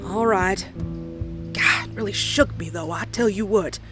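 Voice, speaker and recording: An uneasy young country mare with a Southern US drawl, voiced by a woman, tells a horror story in dramatic first person. Her talk is folksy and anxious. Music plays clearly behind her. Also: mid-range voice, unhurried, lightly acted. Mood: nervous, concerned.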